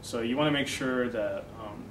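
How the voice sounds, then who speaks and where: A young man who grew up in the Netherlands, a dating coach, explaining ideas in a relaxed interview with many fillers. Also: medium pitch, normal speed. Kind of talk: casual interview talk. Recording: echoey room from far off, noisy.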